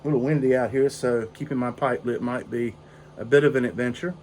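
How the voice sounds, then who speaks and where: Hoarse older man, rambling. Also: mid-range voice, steady tone, darkish tone, a little hiss or hum. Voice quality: raspy. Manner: slow, rambling, with frequent fillers. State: hoarse from a cold. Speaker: pipe-smoking video host. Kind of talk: casual storytelling chat. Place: outdoor porch in drizzling rain.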